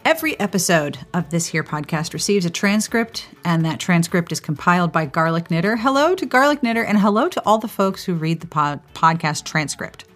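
Low-voiced woman hosting a chatty podcast. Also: expressive, brisk, few pauses, faint music behind. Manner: chatty, playful, exclaims readily. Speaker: podcast host and interviewer. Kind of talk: upbeat podcast intro, ad read and interview.

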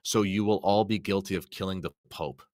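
A man's voice: low voice, fairly even intonation, brisk, darkish tone, dry recording, studio quality. A man co-hosting a podcast, telling stories in a casual, informal, somewhat hesitant way. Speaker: podcast co-host. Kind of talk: casual chat and storytelling.

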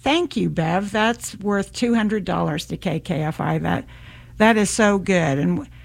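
A woman's voice: mid-range voice, a little slow, vocal fry. An American woman hosting a community radio fundraiser, thanking donors and reading out the pledge number. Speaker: radio host.

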